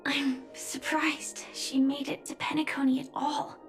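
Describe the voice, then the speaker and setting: A woman voicing a grieving but resolute young knight in a video game, solemn, restrained and softly breathy. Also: sits high, flat delivery, measured pace, in a whisper. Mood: solemn, resigned. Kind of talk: dramatic video game voice acting. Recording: roomy echo, music underneath. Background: music.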